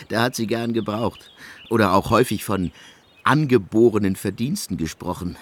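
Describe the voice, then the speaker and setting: An actor in a German radio drama playing a haughty, ironic young student who lectures in an emphatic, mocking way. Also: male voice, medium pitch, close to an everyday voice. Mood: amused, haughty.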